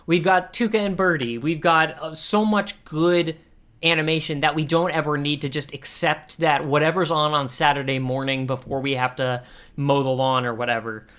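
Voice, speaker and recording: A man's voice: fairly high pitch, fairly even intonation, normal speed, a bit bright, smooth. A man co-hosting a podcast in casual comedic chat, rambling and restarting his phrases as he goes. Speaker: podcast co-host.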